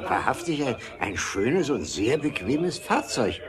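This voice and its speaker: A man's voice: mid-range voice, very animated, a little slow, crowd noise. A man acting a fussy, officious and blustering government official in a German radio play. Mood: jovial, excited. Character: fussy male government official, officious, then eager passenger.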